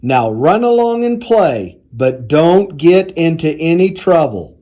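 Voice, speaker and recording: A man reading a children's storybook aloud in a deliberate, expressive storytelling manner. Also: on the high side. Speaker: university athletics director reading aloud.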